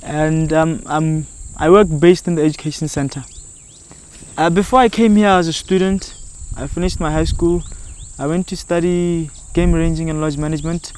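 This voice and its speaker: A man's voice: fairly high pitch, steady tone, moderate pace, a little mellow, clear, resonant tone. A young man, an environmental educator and guide, introducing himself in an earnest interview with small hesitations.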